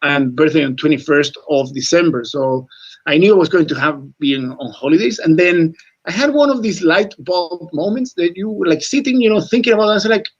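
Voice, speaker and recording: A man's voice: on the high side, slightly rough, muffled recording. A man in his forties from Chile, whose first language is not English, talking earnestly as a rugby coach in a radio interview. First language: Spanish. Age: 40s. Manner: earnest, repeats words, says mate often.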